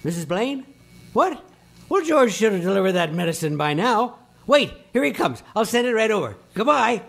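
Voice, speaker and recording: A woman's voice: mid-range voice, sing-song, normal speed, gravelly. A woman playing a drunk, grieving old druggist. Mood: angry. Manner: shouting, angry then remorseful. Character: grieving drunk older male druggist, harsh. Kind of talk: community radio play acting.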